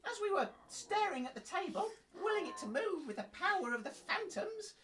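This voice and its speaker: A woman playing an excitable, easily frightened radio reporter in a comic audio drama, giving earnest live commentary. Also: extremely high pitch, normal speed, big-room sound, noisy background. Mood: shaken. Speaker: radio presenter.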